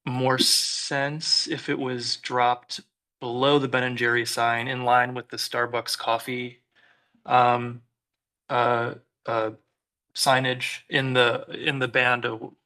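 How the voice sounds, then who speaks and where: A man's voice: mid-range voice, flat delivery, takes it slowly, bright, forceful tone. A man on a city commission giving hesitant comments at a public meeting, full of fillers and restarts.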